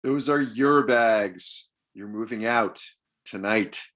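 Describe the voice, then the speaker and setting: A man's voice: fairly low pitch, slow pace, fairly bright tone. A man playing a blunt, sarcastic, exasperated father of a grown son in a comedy table read.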